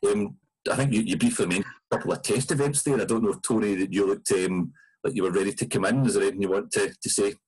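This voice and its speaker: A man's voice: lowish voice, fast, darkish tone, slightly rough, poor audio. A Scottish man hosting an online fan Q&A, chatting informally and a little hesitantly on a video call. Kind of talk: conversational moderating.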